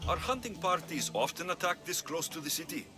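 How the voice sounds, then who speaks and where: A man acting as a young mercenary hero in a game cutscene. He speaks in a firm, direct way. Clear music plays behind him. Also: fairly high pitch, lively intonation, fairly quick, lightly acted. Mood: firm, earnest. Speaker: video game protagonist. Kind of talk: dramatic game cutscene dialogue.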